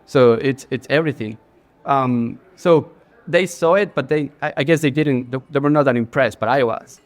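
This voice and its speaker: A man's voice: medium pitch, moderate pace. A man in his forties from Ecuador with an Ecuadorian Spanish accent, speaking as a guest in a casual stage interview, earnest, with many fillers and restarts. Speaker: guest, creative director. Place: bar, live audience.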